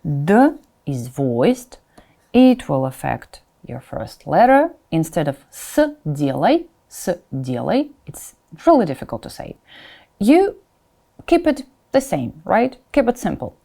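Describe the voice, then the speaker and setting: Female language tutor, soft dark timbre, unhurried delivery, clear modelling of words with informal asides to learners. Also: sits low, big pitch swings. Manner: instructional, repeats example words slowly and distinctly; informal asides, checks in with "right?". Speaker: Russian language teacher. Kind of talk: pronunciation lesson addressed to learners.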